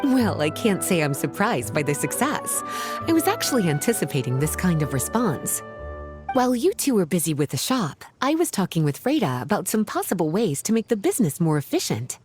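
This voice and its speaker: An actress playing a teasing, composed, sultry woman mentor in a video game, with a playful, unhurried manner. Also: lowish voice, very animated. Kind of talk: video game cutscene acting. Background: background music.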